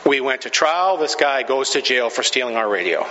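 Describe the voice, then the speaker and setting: A man's voice: mid-range voice, animated, moderate pace, pushed and bright. A male pastor from the Pacific Northwest telling a story sermon, chatty and self-deprecating, laughing at his own stories. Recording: dull sound with long hall reverb.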